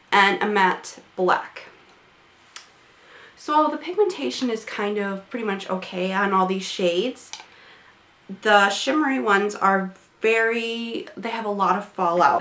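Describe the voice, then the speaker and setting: A woman's voice: medium pitch, a bit bright, roomy sound. A young woman reviewing makeup in a casual, rambling way, her voice a bit congested from a cold. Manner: chatty, rambling, many fillers and restarts. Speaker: beauty vlogger. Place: small home room, indoors.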